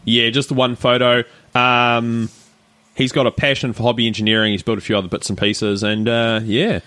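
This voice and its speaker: A man's voice: medium pitch, level tone, normal speed, pushed and bright, smooth, no room sound. A man co-hosting a podcast, chatting casually, joking, teasing and laughing often. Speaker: podcast co-host. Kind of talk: casual chat.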